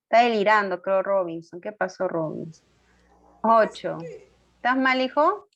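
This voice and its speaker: A woman teacher leads an online class through quiz answers, her pitch sitting in an ordinary middle range. She keeps asking the students questions and nudging them toward the answer, confirming with short hums, and stops to correct her own mistakes with an apology. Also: lively intonation, measured pace, fairly bright tone, dull audio. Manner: questioning and coaxing students, fillers like "ujú"; corrects herself aloud, apologetic.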